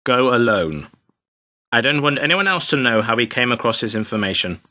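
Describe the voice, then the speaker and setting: Man acting a forceful, cynical spy chief. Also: mid-range voice, expressive, moderate pace. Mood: cold, sardonic. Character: brusque, cynical British intelligence chief. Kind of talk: radio play acting.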